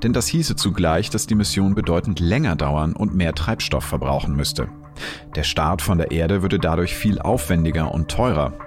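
Deep-voiced male narrator. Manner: calm, explanatory, measured. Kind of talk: scripted science narration.

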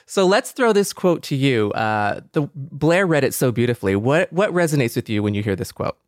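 A man's voice: medium pitch, big pitch swings, normal speed, dry recording, studio quality. A man hosting a podcast in a casual, conversational interview style. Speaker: podcast host.

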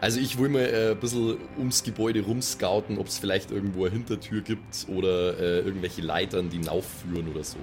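A male tabletop player improvises his moves in casual talk. His voice is on the low side. Music is clearly heard behind him. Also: fairly even intonation, a little fast, hardly any pauses, a little mellow. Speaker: player in tabletop role-play. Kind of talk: casual improvised game chat. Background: clear background music.

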